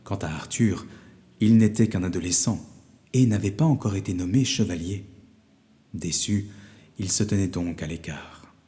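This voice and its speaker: A man narrating a scripted fairy tale for children as a storyteller, measured and with dramatic pauses. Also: sits low, mellow.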